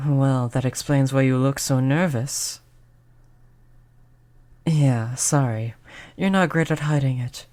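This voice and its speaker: A performer voicing a polite vampire who looks like a child, gentle, reassuring and chatty in an audio roleplay. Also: medium pitch, slow, long pauses, lightly acted. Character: polite vampire turned young, stuck looking like a child. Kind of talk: audio roleplay spoken to the listener.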